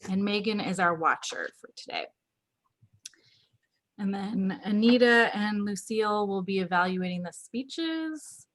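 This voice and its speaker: A woman's voice: medium pitch, lively intonation, unhurried, fairly bright tone. A woman hosting an online club meeting, upbeat and organized as she runs through roles and reminders. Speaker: toastmaster of the meeting. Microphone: video call.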